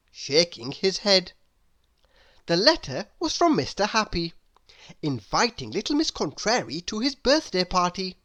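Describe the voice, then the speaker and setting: Female children's read-aloud narrator: bright, highly animated pitch, unhurried and expressive. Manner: deliberate, expressive storytelling. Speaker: storybook narrator.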